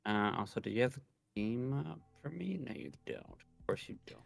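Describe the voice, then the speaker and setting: A man's voice: medium pitch, lively intonation, moderate pace, gravelly, no room sound, soft background music. A man bantering casually on a livestream in a joking, teasing manner. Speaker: streamer's friend and co-player.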